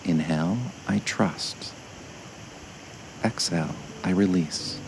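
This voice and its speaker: A man narrating a scripted guided meditation in a gentle, measured way, with frequent pauses. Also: deep voice, vocal fry, noisy background.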